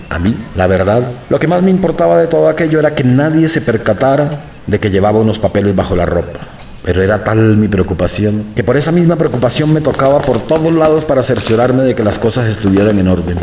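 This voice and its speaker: A man narrating a radio drama in the first person, calm and reflective. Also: on the low side, background noise. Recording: roomy, slightly distant mic.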